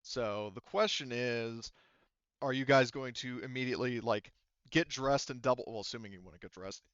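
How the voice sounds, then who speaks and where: A man speaking as game master, explaining a tabletop roleplaying game in a casual, halting way. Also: mid-range voice, a bit bright, clear, resonant tone, dry recording, dull audio.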